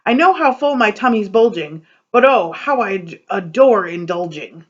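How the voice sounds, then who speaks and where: A woman's voice: mid-range voice, lively intonation, normal speed, muffled recording, natural voice. A woman voicing a greedy, boastful male wolf in a read-aloud poem, with a playful, exaggerated delivery. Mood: gleeful.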